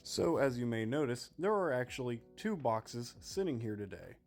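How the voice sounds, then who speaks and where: A man's voice: medium pitch, big pitch swings, normal speed, creaky, a little mellow. Man presenting a product review over music. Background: background music.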